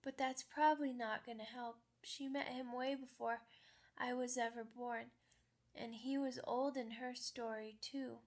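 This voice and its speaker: A woman's voice: fairly high pitch, fairly even intonation, a little slow. A woman voicing a sad, earnest young female werewolf pup in an ASMR roleplay, with hesitant, childlike phrasing. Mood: sleepy, hopeful.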